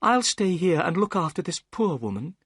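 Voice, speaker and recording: A kind young woman gives firm, caring orders in a raised, lively voice, as a storyteller puts her on. Her slightly husky tone is recorded close and dry. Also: medium pitch, normal speed, dry recording, voice pushed up, full character voice.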